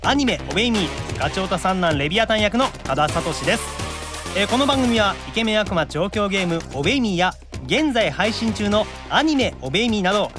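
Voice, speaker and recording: High-pitched, animated man with a Kansai accent. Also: music in the background. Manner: teasing, quick to retort. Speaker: podcast host. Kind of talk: casual, bantering radio show talk.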